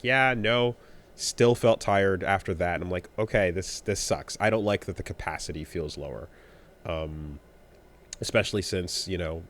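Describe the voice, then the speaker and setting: Very deep-voiced man, halting speech. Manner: rambling, halting, with many restarts and fillers. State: winded after illness. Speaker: podcast co-host. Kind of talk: casual chat.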